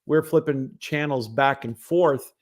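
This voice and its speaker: A man's voice: mid-range voice, measured pace. A man hosting a casual solo sports broadcast, talking in a rambling, conversational way. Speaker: sports show host.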